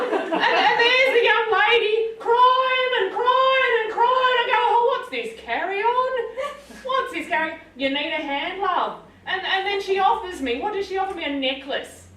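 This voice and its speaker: A woman's voice: very high-pitched, sharp tone, a little hiss or hum, exaggerated voice. A woman putting on a cheeky, self-pitying little forest-dwelling man with an Australian accent, rambling with wry asides. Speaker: storyteller. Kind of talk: live comic storytelling in character. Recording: echoey room, voice from far off.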